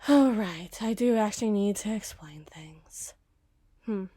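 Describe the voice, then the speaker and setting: A sardonic young detective with a sharp, obsessive streak, voiced by a woman in an ASMR roleplay. She talks in a sarcastic, teasing way and lingers on morbid details. Her voice sits at an ordinary middle pitch. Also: animated, a little slow, husky.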